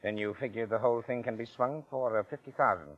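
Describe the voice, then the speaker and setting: A man's voice: medium pitch, quick pace, lightly acted. An actor playing a shrewd, greedy small-town businessman who talks in a blunt, folksy way, in old-time radio style. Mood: shrewd haggling. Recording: muffled old radio recording.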